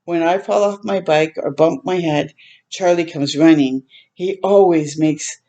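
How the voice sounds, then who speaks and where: Woman, lowish pitch, soft mellow tone, children's read-aloud host, gentle, expressive, tender asides. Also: unhurried, muffled recording. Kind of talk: storytime picture book reading with warm asides.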